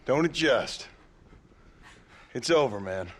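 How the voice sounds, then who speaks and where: Grim western gunman gone straight; terse, medium-pitched, swinging intonation, game cutscene. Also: expressive, slow pace, fairly bright tone. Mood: grim, determined. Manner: terse, plain-spoken, grim. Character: gruff, determined former outlaw gunman and family man. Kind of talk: video game cutscene acting.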